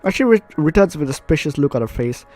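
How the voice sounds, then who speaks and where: A man's voice: fairly high pitch, big pitch swings, quick pace, a little mellow. A man hosting a visual novel let's play, reading narration aloud with goofy, informal asides and stumbles. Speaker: gaming video host. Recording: game music behind the voice.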